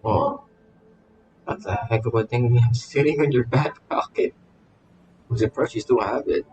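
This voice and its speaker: A man streaming a game, reading dialogue aloud in a halting way with repeated words and casual reactions. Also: mid-range voice, highs cut off, background noise.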